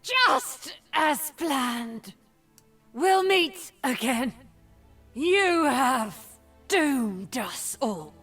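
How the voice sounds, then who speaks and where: An actress playing a powerful, scheming sorceress in a video game, speaking in a measured, cryptic and imperious way. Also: female voice, high voice, lively intonation, a bit bright, soft background music, full character voice. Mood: grave, ominous. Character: powerful, scheming female sorceress, leader of a secret sisterhood. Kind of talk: video game character voice lines.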